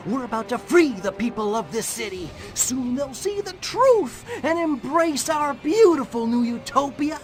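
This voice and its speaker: A man voicing a grandiose, excitable hippie cult guru in a video game, preachy and theatrical. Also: extremely high pitch, heavily acted. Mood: fervent, rallying. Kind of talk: video game cutscene voice acting.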